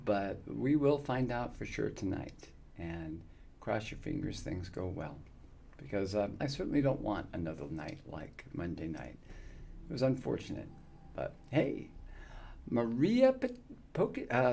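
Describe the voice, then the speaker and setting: A man in his fifties with a low, gravelly voice talks through his live gaming stream in a friendly, rambling way, full of fillers and restarts. A faint hiss of noise runs under him. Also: sing-song, moderate pace, warm tone. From United States. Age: 50s. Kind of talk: casual live-stream chat with viewers.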